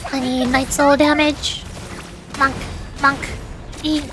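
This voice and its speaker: High pitched vtuber girl doing game commentary, playful little babbly noises, bit of music behind. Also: flat delivery, takes it slowly. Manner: playful, babbles sound words and self-talk. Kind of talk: livestream gameplay commentary, reading game dialogue aloud.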